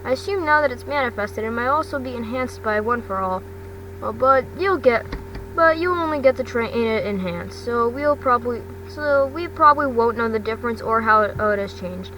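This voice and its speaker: A woman's voice: on the high side, lively intonation, moderate pace, a bit bright. Hearty older male hero mentor played by a woman, big-hearted and reassuring, fan-fiction audio, distant noisy mic. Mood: concerned, reassuring.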